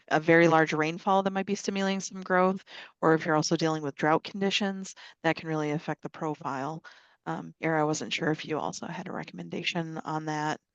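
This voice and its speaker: A woman's voice: sits low, no echo. A woman expert answers a viewer's question, practical and thinking aloud, hedging her advice. The sound is dry and dull, like a muffled webinar feed. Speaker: lab presenter.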